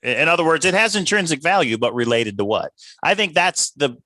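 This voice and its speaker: A man's voice: a little high, very animated, brisk, pushed and bright, no echo. A man co-hosting a livestream in casual discussion, reasoning aloud with questions and rephrasings. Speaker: livestream co-host.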